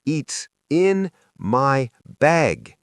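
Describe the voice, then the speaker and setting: A man's voice: medium pitch, very animated, smooth, no room sound, studio quality, heavily acted. Man as an earnest, anxious schoolboy, urgent and emphatic. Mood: tense, urgent. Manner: slow, emphatic, hushed urgency.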